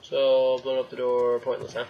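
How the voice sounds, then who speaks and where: A man's voice: medium pitch, level tone, normal speed, gravelly, muffled recording. A young man giving casual, sweary let's play commentary, grumbling and talking to himself and the game. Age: young adult. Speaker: gaming video host. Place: room indoors at home. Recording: game noise behind the voice. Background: video game sounds.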